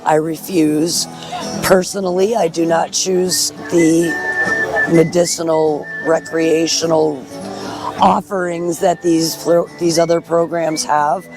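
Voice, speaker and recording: Female interview guest, middle-aged, hoarse, candid and earnest, music in the background. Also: low-pitched, level tone, takes it slowly, low-quality recording. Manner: frank, earnest. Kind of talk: candid personal testimony. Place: at a festival in a park.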